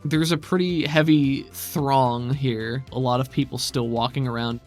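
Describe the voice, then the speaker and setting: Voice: medium pitch, fairly even intonation, measured pace, faint music behind. A game master and podcast host, improvising tabletop narration and chatting casually.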